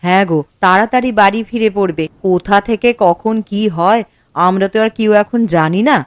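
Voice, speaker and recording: A woman voicing a caring young village wife in a cartoon, speaking in a soothing, gentle way. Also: mid-range voice, brisk, no echo, lightly acted. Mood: calm.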